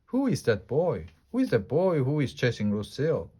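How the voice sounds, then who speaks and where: A man voicing an eager little schoolgirl with a high, squealy, excited voice. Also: moderate pace, breathy edge. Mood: alarmed.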